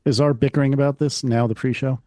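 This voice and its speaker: A man's voice: mid-range voice, fairly even intonation, quick pace, warm tone, no room sound. A man co-hosting a podcast, chatting casually with an understated, wry manner. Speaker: podcast co-host. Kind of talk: casual chat.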